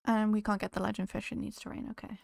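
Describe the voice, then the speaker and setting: Chatty woman streamer with a gravelly edge. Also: medium pitch, little pitch movement, quick pace, darkish tone, dry recording, studio quality. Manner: chatty, greets viewers, talks to game characters. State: losing her voice. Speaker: game streamer. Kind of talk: casual live-stream chat while playing.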